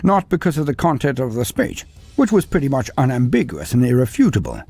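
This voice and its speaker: Male narrator, dramatic storytelling, very lively delivery, dry recording with soft background music. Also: mid-range voice, fairly quick, creaky, slightly rough, dry recording. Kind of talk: scripted narration of a fan story. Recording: dry close mic with faint music.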